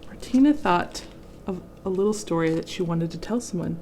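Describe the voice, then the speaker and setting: A woman's voice: lowish voice, moderate pace, mellow, a little hiss or hum. A woman reading a children's picture book aloud expressively, adding chatty asides to young listeners. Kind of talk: children's storybook read-aloud with casual asides.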